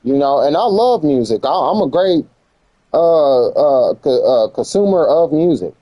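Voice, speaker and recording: A man's voice: fairly high pitch, animated, a little slow, no room sound, highs cut off. An American man chatting casually on a livestream in slangy speech, repeating phrases for emphasis. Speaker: live streamer. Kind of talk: casual livestream commentary chat.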